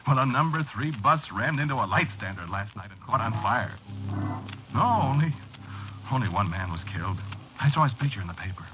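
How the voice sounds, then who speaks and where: Male actor as rattled American man, haunted, halting first-person horror narration, swinging intonation, old muffled radio sound. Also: mid-range voice, expressive, soft background music, lightly acted. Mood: shaken, anxious. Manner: halting, repeating himself, confiding. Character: haunted, rattled businessman telling his own eerie story. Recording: muffled, noisy old-time radio recording.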